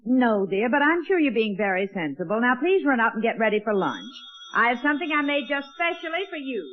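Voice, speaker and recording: Doting fretful mom, old time radio comedy, high proper voice, thin tinny sound. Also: female voice, big pitch swings, brisk, a little hiss or hum. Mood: patient, probing. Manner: motherly, fussing, proper. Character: fretful, doting middle-aged mother. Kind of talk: 1940s radio sitcom acting. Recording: tinny band-limited old radio recording.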